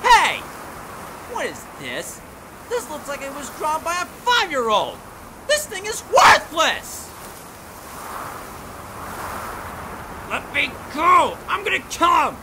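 A man's voice: very high-pitched, huge pitch swings, unhurried, full character voice. A man voicing a greedy, pompous, hot-tempered little cartoon creature in a melodramatic, indignant amateur character demo. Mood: furious. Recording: clear background wind and noise.

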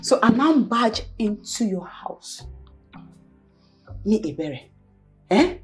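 A woman's voice: fairly high pitch, sing-song, slow pace, a little mellow, faint music behind. An actress playing a frustrated young woman tired of waiting to be married, scolding and mocking. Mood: sarcastic. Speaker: actor. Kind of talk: Nigerian film drama acting.

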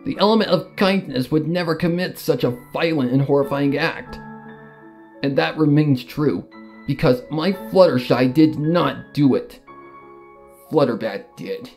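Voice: mid-range voice, unhurried, a little mellow, lightly acted. An actor playing a grieving, bitter cartoon pony mare, telling her tragedy in a dramatic first-person fan fiction reading. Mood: grim. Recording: music under the voice.

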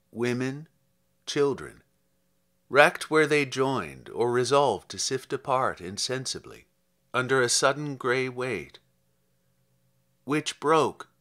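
A man gives a literary poetry reading in a bright, forceful voice. His phrasing is measured and slow, with dramatic emphasis. The pitch moves in an animated way as he delivers his verse. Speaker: poet reading own poems.

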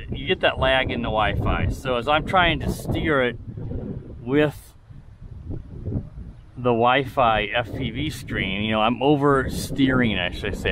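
Chatty man outdoors in loud wind. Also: mid-range voice. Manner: chatty, rambling, many fillers and restarts. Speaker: drone reviewer. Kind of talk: casual outdoor flight commentary. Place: outdoors in a windy field. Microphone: mic with furry windscreen. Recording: loud wind noise in mic.

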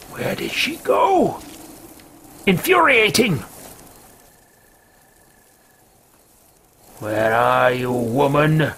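A haughty dark mage, played by a man roleplaying in a video game. He speaks slowly and pompously, with theatrical swoops in pitch, hungry for power. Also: slight background noise, heavily acted. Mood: indignant, exasperated. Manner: pompous, theatrical, drawn-out formal phrasing.

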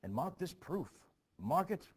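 A man's voice: a little high, big pitch swings, measured pace, slightly rough, voice pushed up, heavily acted. A man reading the part of a grieving, superstitious village mother in a stage play, ominous and intense, in archaic phrasing. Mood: insistent.

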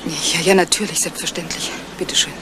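An actress playing a young fiancée in a radio crime drama, brisk, evasive and defiant about money. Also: female voice, low voice, steady tone, natural voice. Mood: taken aback. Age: young adult. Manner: brisk, defensive, politely evasive. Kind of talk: 1970s German radio crime drama acting. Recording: noisy old radio play recording.

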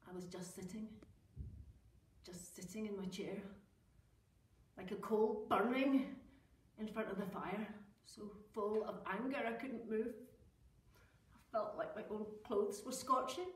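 An actress performing a theatrical monologue as an embittered woman who stabbed her husband, speaking with a low, intense, brooding delivery. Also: slow pace, pauses often, far from the mic, lightly acted. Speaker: actor performing a stage monologue. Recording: echoey room, mic from afar.

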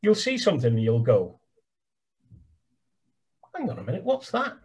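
A man's voice: a little high, wildly swooping pitch, normal speed, a little mellow, a little hiss or hum. An older man with an English accent, chatting enthusiastically over a video call about birdwatching, repeating words as he talks. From England. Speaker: birdwatching guide. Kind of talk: casual video call chat. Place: small room at home. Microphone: webcam on a video call. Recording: laptop video call audio.

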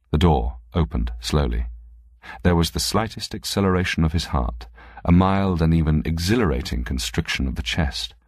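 A man narrating literary fiction as an audiobook reader, in a measured, deliberate way. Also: very deep voice, husky, no room sound, clean studio sound.

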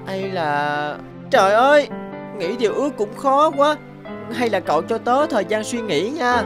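A woman voicing a kind, thoughtful young schoolboy in an animated cartoon, earnest and musing. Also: fairly high pitch, unhurried. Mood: pondering. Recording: prominent music under the voice.

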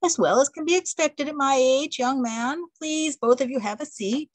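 A woman voicing a frail but upright elderly great-aunt who speaks in a prim, formal, measured way. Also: high-pitched, no room sound, voice pushed up, natural voice. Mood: gracious. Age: older.

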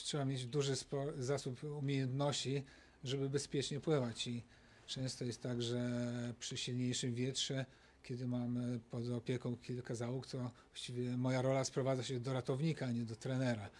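Male coach, 60s, even flat delivery, measured and plain-spoken. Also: mid-range voice. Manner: measured, matter-of-fact. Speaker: sailing coach. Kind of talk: press conference answer. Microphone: handheld microphone.

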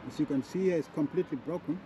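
A man with hosting a casual walking vlog, speaking in halting, repetitive phrases. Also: a little high. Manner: repetitive, halting phrases with non-standard grammar. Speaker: vlogger and walking-tour host. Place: outdoors on a city street. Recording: outdoor street noise.

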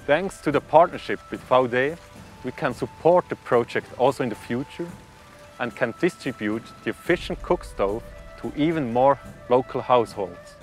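A man in his thirties, a project manager, explaining a climate project in a calm, factual documentary interview. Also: medium pitch, normal speed, faint music behind.

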